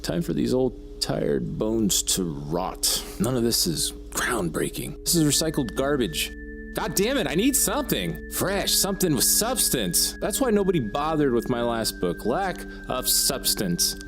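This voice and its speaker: An actor playing a jaded, egotistical horror writer in an audio drama, with a wordy, pompous, theatrical way of talking. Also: male voice, mid-range voice, big pitch swings, a little slow, creaky, over music. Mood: bitter brooding.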